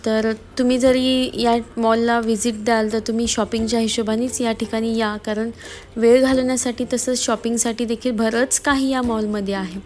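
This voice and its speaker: Female vlogger, higher register, flat intonation, explaining things in a casual narration. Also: normal speed. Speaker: family vlogger. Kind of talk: casual voice-over narration of a mall visit. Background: music.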